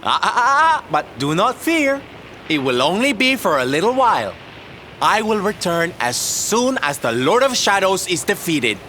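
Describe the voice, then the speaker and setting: An actor playing a flamboyant, warm-hearted male troupe leader in a video game cutscene, with camp, affectionate delivery. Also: high voice, very animated, moderate pace, heavy background noise. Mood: grand, reassuring. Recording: music behind the voice.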